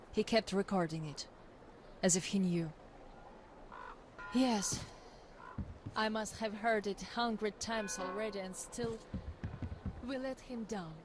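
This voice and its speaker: A woman's voice: medium pitch, noisy background, lightly acted. An actress playing a tough young woman telling of her group's past, frank and wistful. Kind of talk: in-game monologue.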